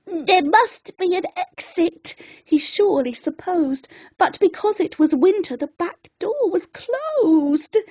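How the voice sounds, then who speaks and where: A woman reading a rhyming children's bedtime story in a dramatic, expressive way. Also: very high voice, slow, poor audio.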